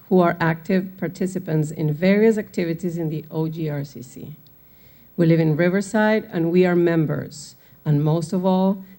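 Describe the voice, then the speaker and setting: A woman's voice: lowish voice, darkish tone. Argentine woman giving public comment. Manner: earnest, apologetic about her English. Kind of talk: prepared public comment speech.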